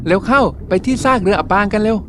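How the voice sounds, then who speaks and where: A woman's voice: mid-range voice, lively intonation, fast, natural voice. Woman voicing a plucky, urgent cartoon fish. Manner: urgent, bossy chatter. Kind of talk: cartoon voice acting. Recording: music bed under voice.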